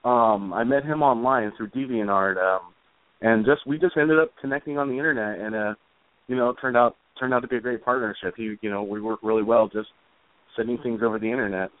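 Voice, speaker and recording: A man's voice: medium pitch, brisk, dry recording. A man chatting informally and a little ramblingly as an interview guest, over a tinny line. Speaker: interview guest, comic author and musician. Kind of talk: casual conversational interview.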